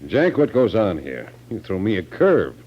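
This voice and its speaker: A man acting a gruff, skeptical sheriff in a 1940s radio drama, with a blunt, brusque manner. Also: on the low side, wildly swooping pitch, normal speed, noisy background. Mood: puzzled, brusque. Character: gruff, skeptical county sheriff questioning a case. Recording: dull 1940s radio recording.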